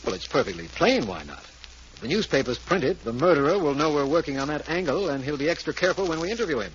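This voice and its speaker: An actor playing an earnest, businesslike, cautious district attorney in a 1940s radio mystery drama. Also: male voice, on the high side, big pitch swings, quick pace. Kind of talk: 1940s radio drama acting and scripted narration. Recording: noisy, muffled old radio recording.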